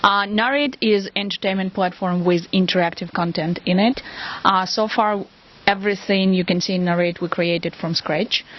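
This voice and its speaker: Female company spokesperson with a Russian accent, medium-pitched, informal and hesitant, on lo-fi audio. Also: steady tone, normal speed. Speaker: company representative being interviewed. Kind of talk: casual product interview. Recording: dull lo-fi audio.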